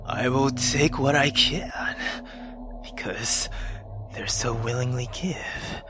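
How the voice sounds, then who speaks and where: A man voicing a brooding, imperious dark lord with slow, menacing, formal delivery in a visual novel. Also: medium pitch, music in the background, voice pushed down, close to an everyday voice. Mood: brooding, hungry. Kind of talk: dramatic visual novel voice acting.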